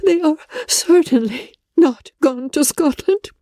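A woman voicing a distraught, self-blaming young gentlewoman in a classic novel reading, agitated and halting, her voice tearful and breaking. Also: sits high, no room sound, clean studio sound, voice pushed up. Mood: despairing.

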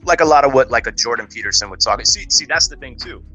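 A man giving his opinions in a casual, slangy podcast conversation, animated and emphatic, often saying "bro". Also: mid-range voice, fast talker, soft background music. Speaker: podcast guest.

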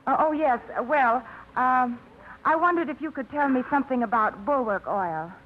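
A woman's voice: sits high, normal speed, a little hiss or hum, close to an everyday voice. An actress playing a shy, hesitant young woman who stumbles over her words, in a 1950s radio drama. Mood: timid. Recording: muffled 1950s radio broadcast.

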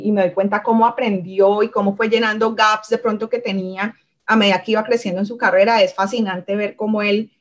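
A Colombian woman from Cali with a Cali accent, speaking casually and reflectively as an interview guest, with halting pauses while telling her personal story. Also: medium pitch.

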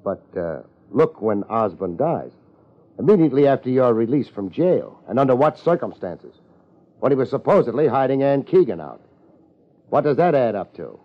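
An actor playing a cool, wry private detective in a 1950s American radio drama, with a laid-back, clipped way of talking and an American accent. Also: male voice, mid-range voice, very animated, normal speed. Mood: accusing. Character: cool, hard-boiled male private detective. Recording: muffled old radio broadcast.

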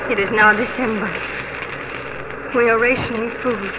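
A woman's voice: a little high, fairly even intonation, normal speed, very noisy, natural voice. An actress playing a devoted, easily frightened young pioneer wife and mother in a theatrical, earnest 1940s radio drama style. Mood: anxious, afraid. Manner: earnest, theatrical letter narration. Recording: muffled 1940s radio broadcast with music.

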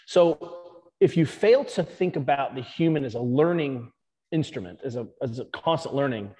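A man, a strength and conditioning coach, explaining his views in an interview with animated emphasis. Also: medium pitch, big-room sound.

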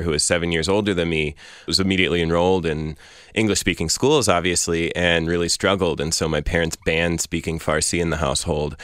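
A man's voice: low voice, fairly bright tone, clean tone, no echo, clean studio sound. A young man born in Iran, speaking as a poet guest in a reflective interview, articulate and searching, with many fillers. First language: Persian. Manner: articulate, thoughtful, elaborate phrasing with frequent fillers.

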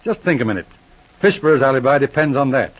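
An actor as a shrewd, brisk Mountie sergeant. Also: male voice, medium pitch, animated, natural voice. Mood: calm, probing. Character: shrewd, self-assured Mounted Police sergeant, brisk detective. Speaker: actor in a radio serial. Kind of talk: 1940s radio acting. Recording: dull lo-fi vintage radio recording.

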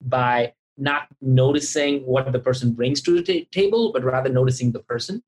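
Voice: medium pitch, moderate pace, a little mellow, slight background noise. A podcast host talking conversationally and reflectively, in an earnest, encouraging way, repeating phrases for emphasis. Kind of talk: conversational interview, reflective recap.

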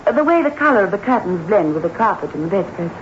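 An actress playing an affectionate, easily spooked wife, expressive and apprehensive, in a 1960s radio drama. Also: female voice, mid-range voice, fast, lightly acted. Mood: fond, relieved. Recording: hissy, muffled 1960s radio recording.

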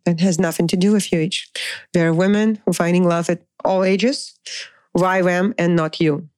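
A woman's voice: on the low side, fairly even intonation, moderate pace, a little mellow, dry recording. A middle-aged woman giving an informal, unscripted motivational talk as a dating coach, emphatic and repetitive, putting rhetorical questions to the listener.

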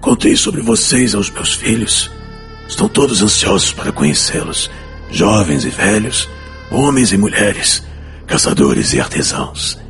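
A man playing a mature, reverent villager of ancient times in a solemn, slow audiobook drama. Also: on the low side, very animated, creaky, a bit bright, soft background music, heavily acted. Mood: solemn, welcoming. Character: mature bearded male ancient villager, reverent watcher angel in human form.

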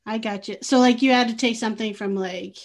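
A woman's voice: fairly high pitch, fairly even intonation, a little fast. A woman hosting an interview, chatty, laughing easily and using lots of filler words. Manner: chatty, easy laughter, uses "like" a lot. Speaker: podcast host. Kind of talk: casual interview.

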